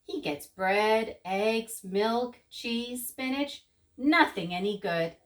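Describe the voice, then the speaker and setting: A woman voicing a bold, mischievous little girl in a children's story, eager and loud, whiny when upset. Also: a little high, slow, distant mic. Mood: complaining.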